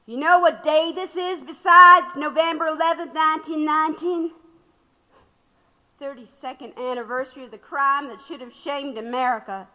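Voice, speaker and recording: Feisty older lady radical, sarcastic and defiant, ringing bright voice, big reverb, radio drama. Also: sits high, moderate pace, lo-fi sound. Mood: angry, defiant. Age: 60s. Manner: sarcastic, mocking, oratorical. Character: defiant, sharp-tongued 66-year-old woman activist under arrest. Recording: reverberant hall-like room.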